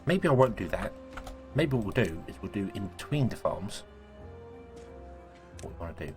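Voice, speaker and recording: A deep-voiced man talks through a city-building game, rambling and correcting himself as he goes. His voice is a little croaky from a cold, and soft music plays faintly underneath. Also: big pitch swings, moderate pace. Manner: thinking aloud, rambling, self-correcting. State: croaky, sneezy voice. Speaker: gaming video host. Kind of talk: casual let's-play commentary.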